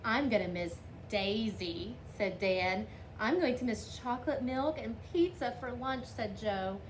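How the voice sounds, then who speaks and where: A woman's voice: a little high, measured pace, reverberant. A woman reading a picture book aloud expressively, lifting her voice for the children's lines. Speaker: storybook reader. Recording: faint background noise.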